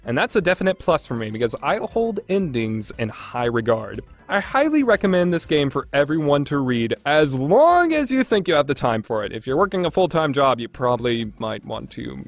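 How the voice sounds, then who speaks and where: A man's voice: a little high, very animated, moderate pace, few pauses, soft background music. A man giving a casual, opinionated game review in a blunt, conversational way. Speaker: video game reviewer hosting a podcast.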